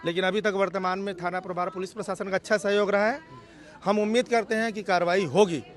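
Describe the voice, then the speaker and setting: High-pitched, emphatic male activist spokesman. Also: fairly even intonation, fast talker, soft background music. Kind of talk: on-the-spot news interview statement. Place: outdoors near a police station. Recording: outdoor street with crowd murmur.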